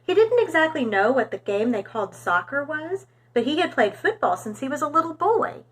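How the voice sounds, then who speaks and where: A woman reading a storybook aloud for young children in an expressive, animated storytelling manner. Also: high-pitched, a little fast.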